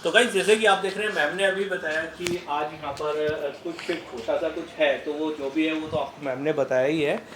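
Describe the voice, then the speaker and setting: A man's voice: a little high, steady tone, normal speed, hardly any pauses, noisy background. A man interviewing students in a repair training class, talking casually and repeating himself. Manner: chatty, repeats "so guys, as you can see".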